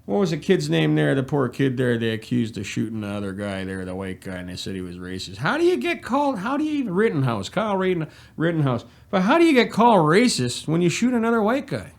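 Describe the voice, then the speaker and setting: A man's voice: on the high side, animated, fast, vocal fry, few pauses. A man giving a crude, mocking, exasperated reaction commentary in a casual, profane style. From Canada. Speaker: commentator reacting to a press conference.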